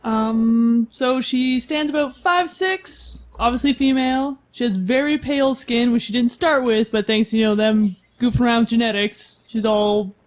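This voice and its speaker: A woman's voice: fairly high pitch, moderate pace, hardly any pauses. Playful woman chatting at a game table. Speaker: tabletop game player on a podcast. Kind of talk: casual chat describing a game character.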